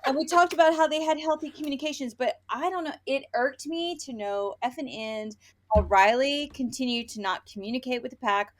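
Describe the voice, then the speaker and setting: Female podcast co-host, higher-pitched, opinionated and animated, swears freely, casual book-review chat, slips into imagined dialogue. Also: brisk, a little hiss or hum.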